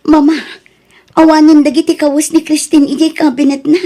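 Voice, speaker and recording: High, mellow Ilocano daughter, played by a woman. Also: little pitch movement, moderate pace, faint music behind, natural voice. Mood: alarmed, dismayed. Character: concerned young adult daughter, reporting on her sister. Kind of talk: Ilocano audio drama acting.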